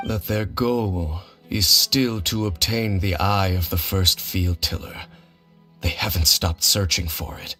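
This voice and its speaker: An actor playing a grave, reserved male wanderer in a video game, speaking in a formal, measured and calm way. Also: deep voice, faint music behind, heavily acted.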